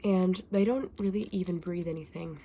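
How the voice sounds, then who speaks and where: Soft, whispery woman with a creaky low voice. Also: steady tone, a little hiss or hum. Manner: gentle, unhurried, informal explaining. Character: gentle, chatty woman selling dragon eggs. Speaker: ASMR roleplayer playing a shopkeeper. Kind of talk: soft-spoken ASMR roleplay, often whispered.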